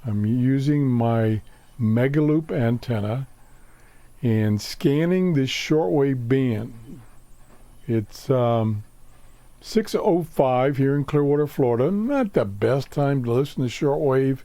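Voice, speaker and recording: Guy doing a casual radio hobby demo, talks real slow and mellow, kinda halting, plain matter-of-fact. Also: mid-range voice, animated, no echo. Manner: halting, matter-of-fact, pausing mid-sentence. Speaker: host of a shortwave radio hobby show. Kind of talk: casual unscripted radio demonstration. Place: home workshop.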